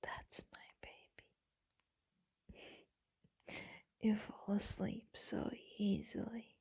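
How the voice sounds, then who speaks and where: A woman playing a tender, melancholy vampire lover in an intimate ASMR roleplay, speaking in a hushed, breathy, doting way. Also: a little high, exaggerated voice. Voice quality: breathy, whispery. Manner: slow, hushed, doting, wistful.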